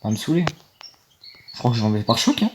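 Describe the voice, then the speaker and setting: A man's voice: medium pitch, very animated, rapid-fire, darkish tone. Young guy, rambling let's-play talk into a phone, light noise behind. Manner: rambling, easily annoyed, talks to viewers. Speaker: gaming video creator. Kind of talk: casual gameplay commentary.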